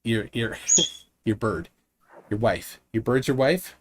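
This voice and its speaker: A man's voice: lowish voice, slow, slight background noise. A man with a Minnesotan accent hosting a casual late-night call-in show, rambling and restarting his sentences. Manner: rambling, self-interrupting, chuckling.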